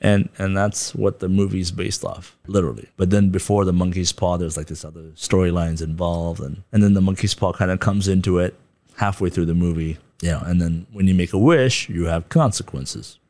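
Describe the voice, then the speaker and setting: A man's voice: sits low, steady tone, brisk, darkish tone. A man from Darjeeling with a slight Darjeeling accent, chatting casually as a podcast guest, laid-back and laughing often while he acts out quotes. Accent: Darjeeling Nepali. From Darjeeling, India. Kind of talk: casual chat.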